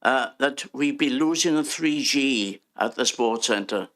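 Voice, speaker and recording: Councillor firmly correcting a speaker. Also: male voice, mid-range voice, unhurried, fairly bright tone. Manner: firm, correcting. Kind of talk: committee questioning.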